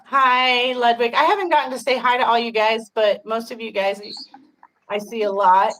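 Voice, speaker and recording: A woman's voice: a little high, fairly even intonation, moderate pace, a bit bright, a little hiss or hum, low-quality recording. A woman in her fifties hosting a casual livestream chat, talking frankly and chattily with frequent laughter and some swearing. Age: 50s. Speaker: podcast host.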